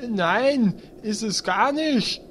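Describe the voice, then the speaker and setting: A man's voice: high-pitched, animated, extremely slow, fairly bright tone, a little hiss or hum, heavily acted. A man acting a naive, stubborn, proud paladin in a comedic fantasy audio drama, earnest and pompous. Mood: proud, defiant.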